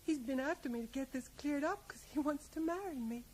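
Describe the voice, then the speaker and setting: An actress playing a plain-spoken, resigned small-town American widow in a radio drama, rambling and often ending on a little question. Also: female voice, high-pitched, a little fast, darkish tone, natural voice. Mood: wistful, defensive. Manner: rambling, ends remarks with tag questions. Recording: faint noise, 1980s radio play.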